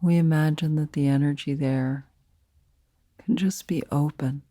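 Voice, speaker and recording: A woman leading a guided meditation as a teacher, speaking softly and soothingly in short, unhurried phrases with pauses between them. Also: sits low, steady tone, no room sound, clean studio sound.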